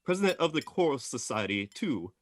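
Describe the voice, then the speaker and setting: Male actor as village postman, garrulous, folksy, cheeky, animated pitch, dry sound, radio drama. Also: fairly quick, no echo, close to an everyday voice. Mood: cheerful, boastful. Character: chatty, cheeky, self-important village postman Gilly. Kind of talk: radio play acting.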